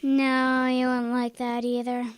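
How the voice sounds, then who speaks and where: Casting: young schoolgirl , woman acting, kids' radio play. High, dry-recorded voice, helpful and plain-spoken. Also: completely flat delivery, slow, clear, resonant tone, no room sound. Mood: helpful, unsure. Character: young schoolgirl, helpful, frank, a little secretive. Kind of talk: children's radio drama acting.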